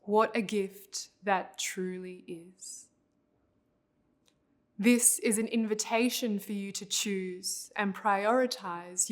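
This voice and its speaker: Slow-paced woman guiding a meditation. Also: medium pitch. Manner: calm, unhurried, instructional. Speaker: meditation instructor. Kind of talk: guided visualization meditation.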